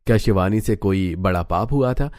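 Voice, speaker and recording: A man's voice: low voice, normal speed, darkish tone, no echo, studio quality. A man giving scripted, dramatic narration for a cartoon story, as its narrator.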